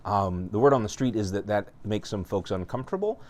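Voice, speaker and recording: Lower-voiced dad interviewee, earnest, plain hesitant talk. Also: male voice, brisk, darkish tone.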